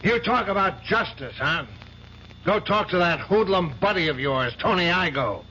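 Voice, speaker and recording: A stern, commanding older man running his law firm in an old radio mystery. His voice is bright and forceful, a bit high for a man, as he lectures. The broadcast is dull and lo-fi with faint hiss. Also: animated, moderate pace. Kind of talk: 1970s radio drama acting.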